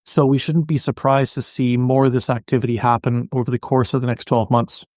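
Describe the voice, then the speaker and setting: A man giving explanatory interview answers as an expert podcast guest, articulate, measured and businesslike. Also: medium pitch, little pitch movement, a little mellow, no echo, studio quality. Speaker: podcast guest, industry analyst.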